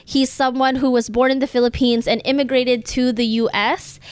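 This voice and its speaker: A woman's voice: sits high, little pitch movement, moderate pace, smooth, dry recording. A woman co-hosting a podcast in casual conversation, speaking candidly and swearing casually. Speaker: podcast co-host.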